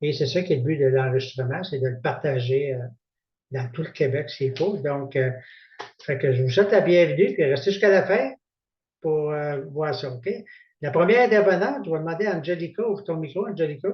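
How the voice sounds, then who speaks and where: A man's voice: on the high side, a little fast. A man with a Québécois accent hosting a testimonial evening, informal and repetitive, full of fillers. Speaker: event host. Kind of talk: casual hosting of an online meeting. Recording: echoey, distant, dull sound.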